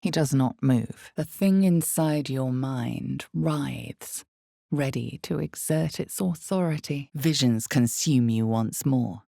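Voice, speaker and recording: Female game narrator: omniscient, ominous, dark mellow tone with heavy fry, measured dramatic delivery, very dry close sound. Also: no room sound, heavily acted. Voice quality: creaky, low. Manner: slow, deliberate, dramatic storytelling. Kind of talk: scripted second-person dark fantasy narration.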